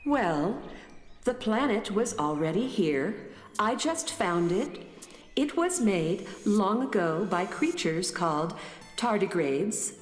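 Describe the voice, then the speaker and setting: A woman's voice: mid-range voice, very animated, slow. A woman voicing a serene, self-assured starship-planet with gracious, slightly boastful manner in a children's audio drama. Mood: proud, explanatory. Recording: big echoing reverb over music.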